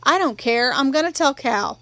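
A woman's voice: high-pitched, expressive, normal speed, fairly bright tone, dry recording. A woman voicing a worried, earnest young boy in an audiobook, with Southern country dialect. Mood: uneasy.

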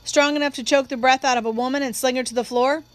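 A woman's voice: high voice, steady tone, fast talker, dry recording, close to an everyday voice. A woman voicing a contemptuous male prosecutor in an audiobook, with condescending, insinuating questions. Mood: needling, scornful.

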